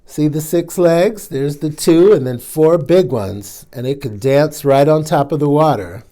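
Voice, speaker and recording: A man's voice: on the high side, unhurried, mellow. A man hosting a children's storytime, reading aloud and chatting to young listeners in asides. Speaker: storytime reader, drag queen host.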